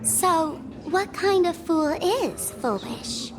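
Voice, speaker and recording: A very high, cutesy young woman streamer from a video game, theatrical and teasing. She swings widely in pitch and loves herself loudly, a masked trickster with music playing behind her. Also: lively intonation, extremely slow, full character voice. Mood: curious, pointed. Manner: theatrical, teasing, self-adoring. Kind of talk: animated video game voice acting.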